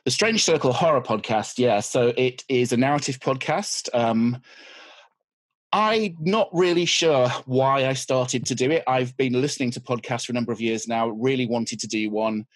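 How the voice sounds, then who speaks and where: A man's voice: mid-range voice, normal speed, no echo. A man, a teacher, chatting as a podcast guest in a wry, self-deprecating way. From United Kingdom. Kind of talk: casual interview chat.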